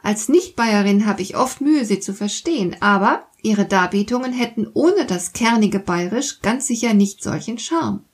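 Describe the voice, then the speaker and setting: Breathy woman hosting a podcast. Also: mid-range voice, normal speed, hardly any pauses. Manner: explains calmly, speaks directly to the listener. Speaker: podcast host. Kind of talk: scripted podcast monologue.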